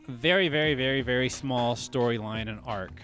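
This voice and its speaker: A man talking through his ideas on a casual podcast, circling back and restarting as he goes. His voice sits at an ordinary middle pitch. Faint music plays softly behind him. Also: moderate pace. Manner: thinks aloud, frequent restarts and "you know what I mean". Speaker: podcast co-host.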